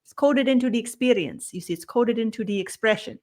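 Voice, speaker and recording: A woman channeling a spiritual collective in a slow, ceremonious way. She speaks as "we" and ends almost every phrase with "you see". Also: on the high side, a little mellow. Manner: speaks as "we", with slightly odd grammar.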